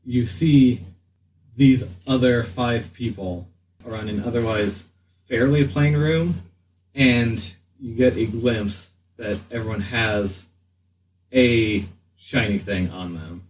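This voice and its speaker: A man narrating a tabletop game as game master, in a halting, deliberate way. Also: mid-range voice, a little mellow. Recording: distant mic, faint room noise.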